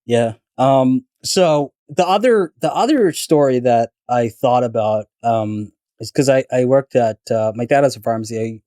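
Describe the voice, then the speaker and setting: A man's voice: mid-range voice, moderate pace, hardly any pauses, warm tone, dry recording, clean studio sound. A man born in Canada telling stories in a casual podcast chat, rambling with many fillers and restarts. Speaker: guest storyteller.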